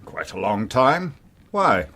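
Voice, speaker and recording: An actor playing a haughty, guarded titled gentleman in a radio drama, speaking formally and curtly, as if affronted. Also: on the low side, sing-song, slow, slightly rough, exaggerated voice. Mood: guarded, indignant. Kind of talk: BBC radio drama acting.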